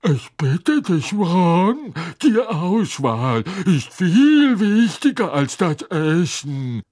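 A man voicing a proud, fussy old male ghost in a slow, self-important manner with a breathy voice. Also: sits high, sing-song, darkish tone, no room sound, studio quality, voice pushed up, full character voice. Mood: genteel. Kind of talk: audiobook character voice.

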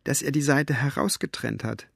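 A man voicing a gruff, sharp-tongued woman book collector who talks brusquely and mockingly. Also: mid-range voice, normal speed, no room sound, clean studio sound.

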